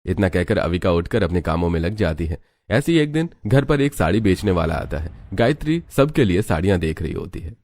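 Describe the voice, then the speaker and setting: A man's voice: low-pitched, sing-song, a little fast, few pauses, darkish tone, dry recording. A man giving scripted narration of a family story.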